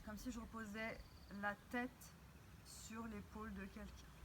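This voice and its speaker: Woman, dance teacher, demonstrating moves, counting aloud, slightly high voice, faint background noise. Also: sharp tone. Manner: demonstrates while talking, counting beats and sung syllables. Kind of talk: step-by-step dance instruction.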